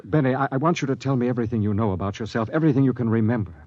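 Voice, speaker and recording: An actor playing a driven expedition captain who narrates a 1950s radio drama in an earnest, urgent voice. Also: male voice, medium pitch, very fast, darkish tone, no echo, lightly acted. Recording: dull vintage radio with music.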